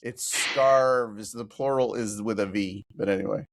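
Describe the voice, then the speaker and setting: A man's voice: fairly low pitch, measured pace. A man co-hosting a podcast in casual chat, teasing his friend and correcting his grammar. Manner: picky about grammar, teasing. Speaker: podcast co-host.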